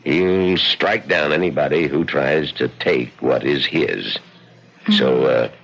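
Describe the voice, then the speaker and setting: A man acting in a radio drama as a folksy, cautionary Western local, with a gravelly voice and plain-spoken, serious delivery. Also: rumbling low, slow, a bit bright, full character voice. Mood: grave warning. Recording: muffled old radio recording.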